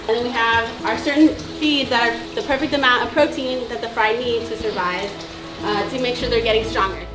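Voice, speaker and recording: A young woman who works on a farm, casually explaining the work during a tour in a chatty way. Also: fairly high pitch. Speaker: farm worker. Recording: roomy echo and loud music.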